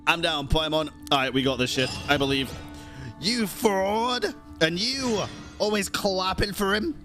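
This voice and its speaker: A woman's voice: on the low side, measured pace, music in the background. A young woman giving casual live-stream reactions to a game, playful and slangy, with some swearing.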